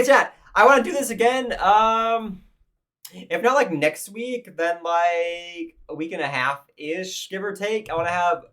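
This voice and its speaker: Hoarse-voiced male streamer, slightly high. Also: a bit bright. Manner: rambling, thinking aloud with restarts. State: hoarse, losing his voice. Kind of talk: casual live-stream commentary.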